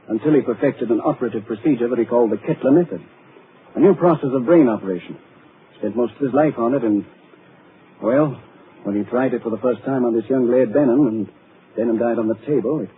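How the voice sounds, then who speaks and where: A worried middle-aged doctor, played by an actor, explains things in a calm, measured way. The sound comes from a tinny old radio broadcast with a faint hiss underneath. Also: male voice, medium pitch, natural voice. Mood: concerned. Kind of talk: 1940s radio acting.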